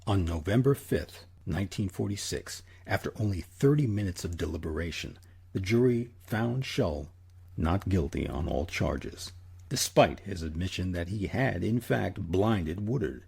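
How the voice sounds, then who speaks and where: A man narrating a scripted history documentary as its host in a measured, solemn, deliberate manner. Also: low-pitched, expressive, vocal fry, darkish tone, slightly rough. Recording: narration over a music bed. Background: music.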